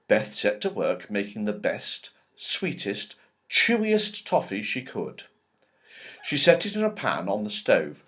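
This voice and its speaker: A man narrating a children's storybook as a reader, with expressive delivery, dramatic pauses and strong emphasis. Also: on the low side. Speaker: audiobook reader.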